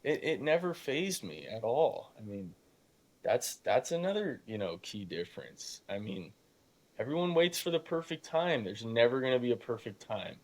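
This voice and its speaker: A man's voice: mid-range voice, very animated, normal speed. Emphatic young man, youthful and informal. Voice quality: youthful voice that still cracks at times. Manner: emphatic, informal, acts out imagined dialogue. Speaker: podcast guest, young real estate investor. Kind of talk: casual conversational motivational storytelling.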